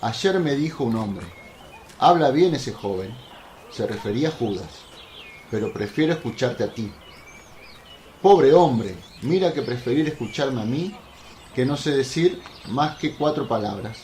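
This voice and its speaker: An actor playing a humble, plain-spoken apostle, earnest and talkative, in a religious radio drama. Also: male voice, mid-range voice, lively intonation, a little slow, a little mellow, close to an everyday voice. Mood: humble, animated. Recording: roomy echo, faint noise, birdsong behind.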